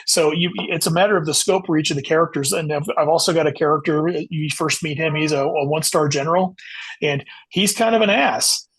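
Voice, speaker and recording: A man's voice: on the high side, fairly bright tone, husky, rough recording. A man being interviewed as an author, explaining things at length in a casual, conversational way. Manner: explanatory, conversational, says "you know" often.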